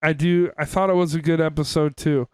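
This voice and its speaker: Man, slightly high smooth voice, informal podcast co-host, hesitant recapping, casual talk. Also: fairly even intonation, fairly quick, a little mellow, dry recording. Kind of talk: casual chat reviewing cartoon episodes.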